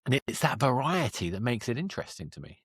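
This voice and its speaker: A man's voice: mid-range voice, very animated, fast talker, slightly rough, no room sound, clean studio sound. A man hosting an interview podcast, talking conversationally in a thoughtful, wordy way that circles around his point. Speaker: podcast host interviewing a guest.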